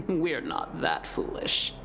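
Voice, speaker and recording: Slow, scornful woman villain. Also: deep voice, very animated, background noise, full character voice. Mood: mocking menace. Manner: slow, taunting, theatrical delivery. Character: scornful scheming woman villain, coldly mocking assassin. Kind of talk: video game character acting.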